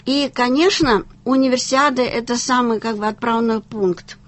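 A woman in her sixties with a slightly high voice talks in an interview. She is enthusiastic and talkative, telling of her experience in a conversational way. She comes from Tatarstan in Russia. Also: normal speed. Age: 60s. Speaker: senior volunteer, interview guest.

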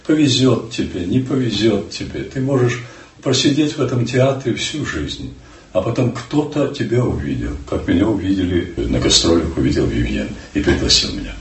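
An older man from Ukraine, a stage actor, telling stories in an unhurried, emphatic way during an interview. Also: deep voice, big pitch swings, reverberant, far from the mic, slight background noise. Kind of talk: reflective interview answers.

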